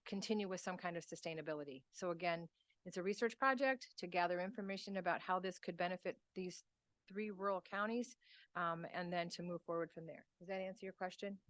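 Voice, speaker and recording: A woman's voice: lowish voice, steady tone, brisk, fairly bright tone, dry recording. A woman from the extension staff, explaining a grant proposal to a county committee in a conversational way and answering questions. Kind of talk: committee meeting presentation.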